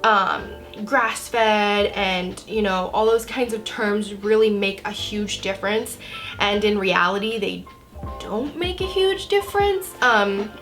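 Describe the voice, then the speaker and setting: A woman's voice: medium pitch, takes it slowly, fairly bright tone. Young American woman with a cold, music behind. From Florida, United States. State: has a cold. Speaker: book vlogger. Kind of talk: casual chat to camera.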